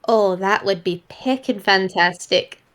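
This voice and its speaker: A woman's voice: medium pitch, a little slow, voice pushed down, lightly acted. A woman playing an eager, playful female monkey detective who mentors an apprentice and talks in folksy mild oaths. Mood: boastful, playful.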